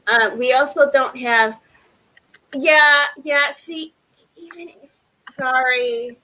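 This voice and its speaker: A woman from Illinois with a Midwestern American accent, chatting casually and haltingly as a livestream co-host. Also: high voice, sharp tone, rough recording.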